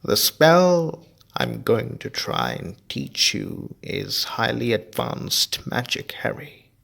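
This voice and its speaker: A kindly, patient wizard teacher, as a man reading a storybook puts him on, lifting his voice above his own and weighing each word slowly. The tone keeps a gravelly, slightly husky texture while he explains. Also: medium pitch, big pitch swings, a bit bright, heavily acted. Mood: instructive. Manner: slow, emphatic and instructive.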